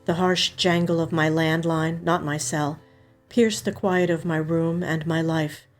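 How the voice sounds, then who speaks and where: A woman narrates a literary mystery story in the first person. Her voice sits a little low, and her reading is measured and expressive. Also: soft background music. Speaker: actor reading a short story.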